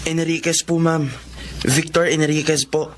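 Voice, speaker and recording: An actor with a Filipino accent playing a respectful, kindhearted young student in a radio drama, speaking earnestly. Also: male voice, on the high side, flat delivery, a little slow, slight background noise, close to an everyday voice. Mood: polite, earnest. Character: polite, kindhearted young male college student.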